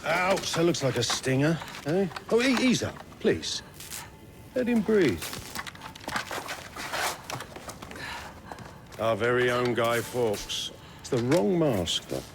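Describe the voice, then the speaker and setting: A menacing private military commander from a game cutscene. His medium-pitched voice is smug and taunting, used to giving orders. Clear noise sits behind him. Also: very animated, unhurried. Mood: mocking. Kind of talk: video game cutscene acting.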